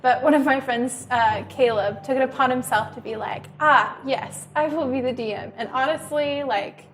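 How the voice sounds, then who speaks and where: Chatty high-voiced woman in her twenties. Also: moderate pace, slight background noise. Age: 20s. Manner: chatty, many fillers like "um" and "like". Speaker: club member. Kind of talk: casual interview storytelling. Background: background music.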